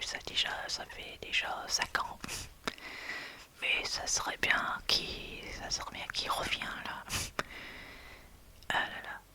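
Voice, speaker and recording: An ASMR creator whispering casual chat in a hesitant, halting way, often searching for words. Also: pushed and bright.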